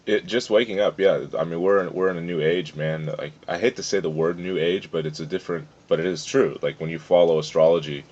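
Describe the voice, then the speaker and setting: A young man from Florida's Tampa Bay with a deep voice, chatting as a podcast guest in a laid-back way and laughing often. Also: fairly even intonation, a little fast, few pauses, a little mellow. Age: young adult. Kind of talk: casual interview chat. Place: outdoor covered porch at a farm nursery. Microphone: phone recording on the table. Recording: slightly dull lo-fi phone audio.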